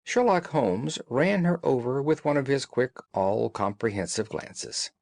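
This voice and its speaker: A man reading scripted storybook narration as an audiobook narrator, in an expressive way. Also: medium pitch, a little slow, vocal fry, no echo, studio quality.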